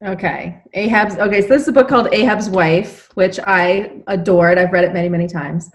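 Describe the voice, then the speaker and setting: A woman's voice: medium pitch, fairly even intonation, fairly quick. A woman reading aloud and chatting informally within a group. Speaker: librarian reading book reviews aloud.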